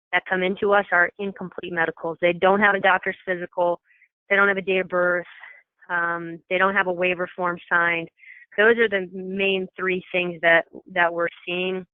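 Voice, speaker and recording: A program coordinator giving an informal, matter-of-fact, explanatory briefing to coaches over a telephone conference call. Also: mid-range voice. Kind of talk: informal meeting presentation. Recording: tinny, echoey telephone conference line.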